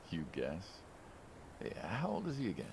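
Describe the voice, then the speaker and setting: A man's voice: low voice, wildly swooping pitch, unhurried, vocal fry, background noise, heavily acted. A man playing a friendly, inquisitive, wryly skeptical middle-aged American mobster in naturalistic video game dialogue. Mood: reflective, easygoing.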